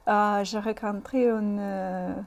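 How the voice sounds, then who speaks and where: A woman's voice: medium pitch, level tone. Hesitant woman with a Russian accent. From Russia, Moscow. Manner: hesitant, many pauses and fillers. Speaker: guest. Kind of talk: casual interview. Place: community TV studio.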